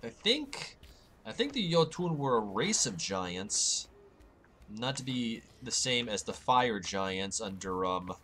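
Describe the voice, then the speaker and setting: A man with a slightly hoarse voice, rambling and joking at his own expense as he narrates playing a game. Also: fairly low pitch, sing-song, slow pace, fairly bright tone, a little hiss or hum. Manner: rambling, self-mocking, chatty asides. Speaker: gaming channel host. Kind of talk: casual let's-play commentary. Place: home, late at night.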